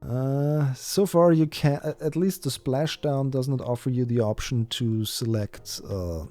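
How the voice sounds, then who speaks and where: A man's voice: medium pitch, a little slow, mellow, no echo, faint music behind. A man whose first language is German, chatting through a live game stream and thinking aloud with many fillers and restarts. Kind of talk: live-stream gameplay chat.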